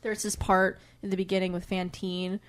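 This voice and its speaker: A woman's voice: mid-range voice, little pitch movement, moderate pace, noisy background. Chatty, joking woman podcaster. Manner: chatty, jokey, peppers talk with asides. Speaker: podcast co-host. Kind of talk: casual bantering book recap chat.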